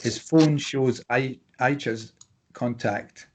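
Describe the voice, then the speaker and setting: A man's voice: mid-range voice, steady tone, takes it slowly, a little mellow. A man reading stage directions as scripted narration at a drama table read.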